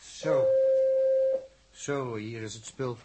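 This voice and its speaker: An actor playing a hot-headed, grumbling, cocky spaceship technician with a blunt manner in a 1960s Dutch radio drama. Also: male voice, mid-range voice, steady tone, drawn-out words, over loud music. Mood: brisk, grudging. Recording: noisy old radio drama recording.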